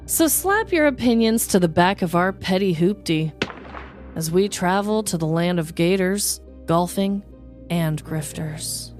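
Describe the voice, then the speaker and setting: Lower-pitched woman hosting a comedy podcast. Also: lively intonation, unhurried, darkish tone, soft background music. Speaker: podcast host. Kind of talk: scripted comedic true-crime narration and casual chat.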